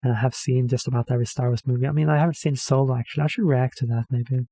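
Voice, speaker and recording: Mellow-voiced man rambling. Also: mid-range voice, fairly even intonation, rapid-fire, husky, no room sound. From Norway. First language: Norwegian. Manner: hesitant, rambling, self-correcting with many fillers. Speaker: reaction video host. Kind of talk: casual rambling commentary.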